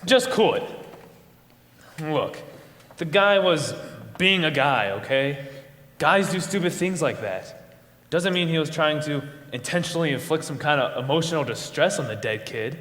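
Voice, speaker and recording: A man's voice: fairly high pitch, expressive, measured pace, a bit bright. A man playing a dismissive, irritated juror in an amateur stage play. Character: irritated, dismissive male juror, brash and callous. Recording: very echoey hall, live stage.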